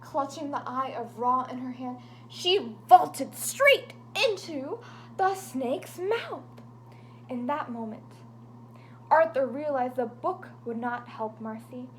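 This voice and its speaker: A woman reading a picture book aloud to children, animated and dropping into whispers for suspense, with chatty asides to her young listeners. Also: sits high, takes it slowly, a little hiss or hum, poor audio.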